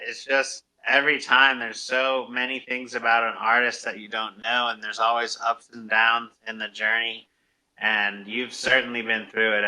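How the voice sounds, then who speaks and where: A man's voice: mid-range voice, pushed and bright, rough recording. A man with an American accent hosting a casual live show in an upbeat, encouraging, slangy way. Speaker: radio show host.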